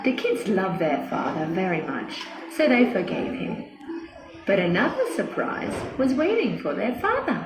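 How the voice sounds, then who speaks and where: A woman narrating a scripted fairy tale for children in an expressive, dramatic storytelling manner. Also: mid-range voice, unhurried. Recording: echoey, music under the voice.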